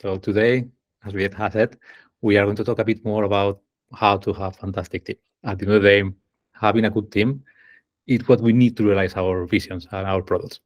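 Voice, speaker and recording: A man with a thick Spanish accent speaks in a lowish voice over a video call. He explains ideas one at a time and keeps checking in with his listeners through questions. The pace is easy and conversational. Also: fairly even intonation, a little fast, highs cut off. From Spain. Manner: explanatory, poses questions to the audience. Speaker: engineering manager giving a workshop. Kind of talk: online presentation.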